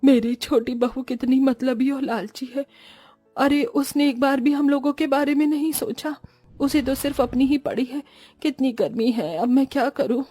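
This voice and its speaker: A woman voicing a stingy, middle-aged Indian-family mother-in-law who speaks low, soft and weary. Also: steady tone, moderate pace, dry recording, faint music behind. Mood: rueful.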